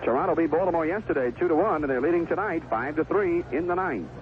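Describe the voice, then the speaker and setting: Male radio baseball play-by-play announcer, animated delivery, narrow phone-like band with clear background noise. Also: fairly high pitch, fast. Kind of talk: live radio baseball commentary. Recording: narrowband noisy 1970s AM radio broadcast.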